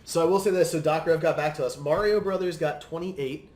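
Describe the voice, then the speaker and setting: A man's voice: fairly high pitch, fairly quick, a little mellow, echoey room. A man hosting a podcast, talking casually and at length about films with his own opinions. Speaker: podcast host. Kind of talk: casual film discussion. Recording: noisy room background.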